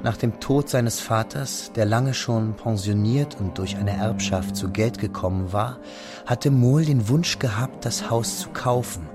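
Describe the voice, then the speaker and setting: A man narrating a literary radio drama in a composed, scripted storytelling manner. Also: on the low side. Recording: music playing behind the voice.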